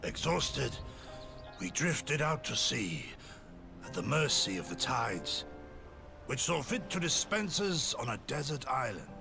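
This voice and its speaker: Aged samurai man, solemn, gravelly, very slow measured delivery, archaic wording, video game drama. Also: mid-range voice, big pitch swings, over music, heavily acted. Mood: grave, recounting. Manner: formal, measured, old-fashioned phrasing. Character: aged male samurai, solemn, gruff, protective storyteller. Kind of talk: dramatic game cutscene acting, archaic diction.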